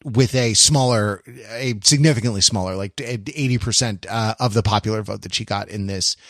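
A man co-hosting a podcast, chatting casually in animated, rambling explanations. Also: lowish voice, moderate pace, no echo, studio quality. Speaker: podcast co-host. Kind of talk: casual panel discussion.

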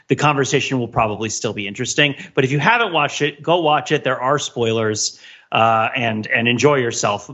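A man's voice: fairly high pitch, bright, forceful tone, dull audio. A man giving casual analytical commentary on television as a podcast panelist, emphatic and digressive, acting out his examples.

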